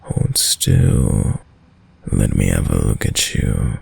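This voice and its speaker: A man playing a suave, predatory, dominant vampire lord in an intimate audio roleplay, with a very deep, gravelly voice and a courtly, seductive, menacing manner that lingers over words. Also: very animated, slow pace, darkish tone, full character voice.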